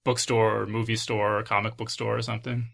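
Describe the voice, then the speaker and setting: A man's voice: on the low side, little pitch movement, a little fast, creaky, a bit bright. A man hosting a podcast, chatting casually with his guests in a conversational interview style. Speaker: podcast host.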